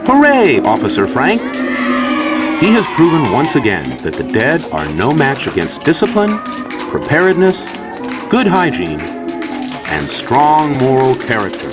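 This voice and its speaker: A man's voice: medium pitch, huge pitch swings, measured pace. A man delivering scripted narration like an old children's safety film, in a theatrical, mock-earnest storyteller manner, over prominent music.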